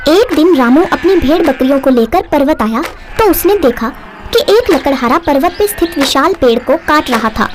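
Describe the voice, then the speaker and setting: A woman's voice: high-pitched, expressive, moderate pace, noisy background. A woman narrator reading a scripted moral story for children.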